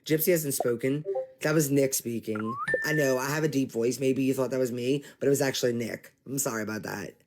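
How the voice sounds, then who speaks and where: A man giving casual livestream commentary, exasperated and animated, talking straight to his viewers. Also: medium pitch, a little fast, heavy background noise. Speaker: commentary streamer.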